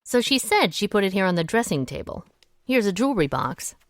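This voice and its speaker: A woman's voice: mid-range voice, big pitch swings, fairly quick, lightly acted. A woman playing a guarded, independent small-town amateur sleuth in an audio drama, with a wry, reserved manner. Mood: wry, businesslike. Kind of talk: audio drama dialogue acting.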